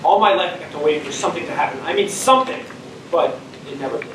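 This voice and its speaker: Young man acting a bitter, high-pitched mutinous astronaut, echoey. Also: lively intonation, fast talker, lightly acted. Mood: bitter, scornful. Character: mean, bitter, taunting middle-aged male astronaut mutineer. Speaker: student actor. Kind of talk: theatrical stage acting. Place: stage of a school theater. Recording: echoey stage, distant mic, faint noise.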